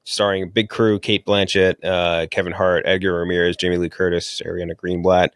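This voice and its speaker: Casual, low-voiced man reviewing movies. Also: little pitch movement, brisk, dry recording, studio quality. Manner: informal, blunt, swearing. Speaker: podcast host reviewing movies.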